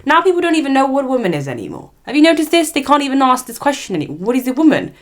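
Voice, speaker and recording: A woman's voice: high voice, sing-song, racing. A woman giving an opinionated commentary monologue, sarcastic and mocking, slipping into parody voices. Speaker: commentary vlogger.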